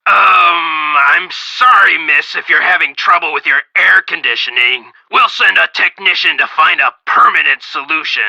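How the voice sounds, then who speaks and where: A man's voice: a little high, moderate pace, creaky, few pauses, sharp tone, husky, no room sound, heavily acted, poor audio. A man playing a shifty, curt air conditioning businessman in a video game. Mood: defensive.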